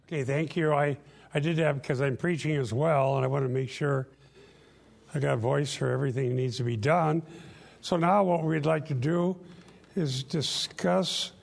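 An older man, host and pastor, teaching the Bible in an earnest, emphatic way with frequent pauses. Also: fairly high pitch, steady tone, vocal fry. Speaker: radio show host and pastor.

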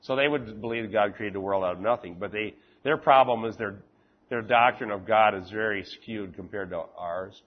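A man's voice: fairly low pitch, lo-fi sound. Chatty man teaching a Bible class. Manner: chatty, reads scripture slowly and deliberately, laughs easily. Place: classroom with fans running.

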